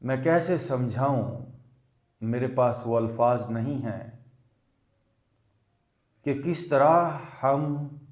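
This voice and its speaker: A man teaches guided meditation at a very slow pace. His phrasing is deliberate and repetitive, with long pauses, in a mid-pitched voice. The recording sounds roomy and echoing. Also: a little mellow. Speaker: yoga master and meditation teacher.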